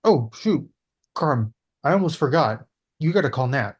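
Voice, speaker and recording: An easygoing tough guy, read by a man, talks in an offhand way, and his voice rises and falls freely. The pitch is middling, and he sounds relaxed rather than menacing. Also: normal speed, breathy edge.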